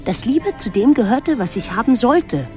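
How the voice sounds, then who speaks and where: Young woman in a radio play, pensive n detached, measured delivery, soft music behind. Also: on the high side, sing-song. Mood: reflective. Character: pensive young woman recalling her island summer, detached, introspective. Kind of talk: literary radio drama narration and dialogue. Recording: music under the voice.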